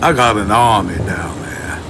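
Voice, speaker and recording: A man's voice: lowish voice, a little slow, noisy background, close to an everyday voice. An actor playing a defiant, alarmed gangland boss in an audio drama, with tough-guy slang. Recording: loud music and vehicle effects.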